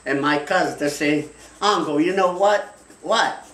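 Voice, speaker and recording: A man's voice: a little high, unhurried, fairly bright tone. An older man whose first language is Italian, chatting in broken English with short phrases. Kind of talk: casual chat. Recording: echoey room, distant mic.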